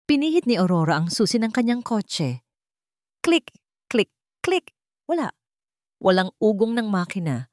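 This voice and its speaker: A woman narrating a romantic drama in a calm, measured storytelling manner. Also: mid-range voice, no room sound, studio quality.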